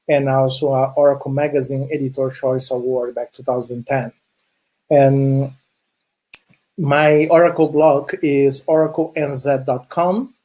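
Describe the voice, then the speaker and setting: A middle-aged man from Chile, Portuguese-speaking from childhood, who talks with a Brazilian Portuguese accent. He speaks in a husky voice at a middle pitch, friendly and a bit halting, with plenty of filler sounds while he presents. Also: a little mellow. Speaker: conference speaker. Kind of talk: presentation talk.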